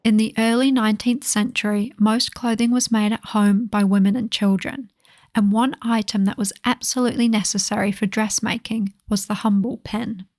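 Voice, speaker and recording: A woman's voice: medium pitch, steady tone, normal speed, darkish tone, no echo. Dry-miked woman narrating. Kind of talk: scripted history narration.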